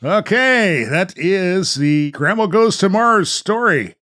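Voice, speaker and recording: A man's voice: sits high, expressive, slow pace, bright, forceful tone. A man hosting a show, narrating a radio play and talking casually. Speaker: host and narrator.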